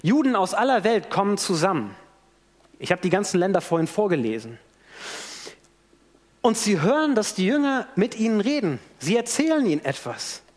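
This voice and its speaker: A man with a fairly high voice gives a sermon in a church. His pitch rises and falls a lot as he tells the story and acts out the quotes. A long reverb hangs behind him in the hall. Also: normal speed. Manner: animated storytelling with acted-out quotes. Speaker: preacher.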